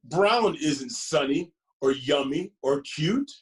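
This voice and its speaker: A man's voice: a little high, animated, slow pace, recorded from a distance. Man reading as a stubborn little storybook child. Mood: reluctant. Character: small stubborn child protesting about being brown. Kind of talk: storybook read-aloud character voice.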